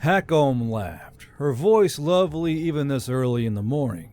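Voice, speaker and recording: A man's voice: mid-range voice, animated, moderate pace. A man reading scripted fantasy fiction as an audiobook narrator. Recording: soft music bed under narration.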